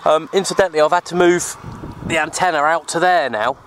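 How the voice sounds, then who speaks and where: A man's voice: on the high side, fairly quick, fairly bright tone. Man vlogging outdoors, noisy audio. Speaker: vlogger, CB radio hobbyist. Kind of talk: casual outdoor vlog narration. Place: open hillside under trees, outdoors. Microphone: handheld camera microphone.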